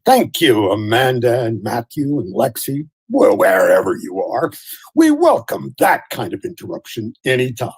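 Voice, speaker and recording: A man's voice: medium pitch, big pitch swings, a little slow, husky. A man hosting a theatre radio show, making announcements and appeals to the audience.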